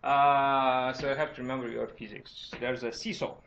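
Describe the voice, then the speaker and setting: A man's voice: mid-range voice, little pitch movement, normal speed, creaky, fairly bright tone, a little hiss or hum. A man from Romania with a Romanian accent, lecturing informally as a university teacher and often checking in with "right?" and "okay?". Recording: dull, lo-fi lecture recording.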